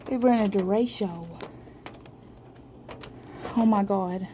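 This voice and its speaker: A woman's voice: mid-range voice, takes it slowly, mellow. A scared, shaky woman giving breathless, exclaiming commentary into a handheld phone. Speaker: amateur storm videographer. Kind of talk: excited running commentary on a phone video. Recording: noisy amateur phone recording, storm ambience.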